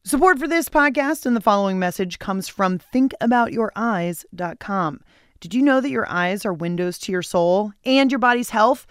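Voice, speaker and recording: A woman's voice: medium pitch, animated, moderate pace, clean tone, no echo. A woman hosting a radio show, reading scripted public radio narration.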